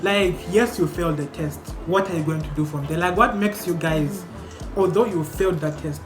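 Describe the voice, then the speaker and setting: A man's voice: on the high side, normal speed. Young man hosting, echoey room. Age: young adult. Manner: animated, questioning, laughs often. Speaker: host. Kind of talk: casual group discussion. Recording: echoey room with music behind.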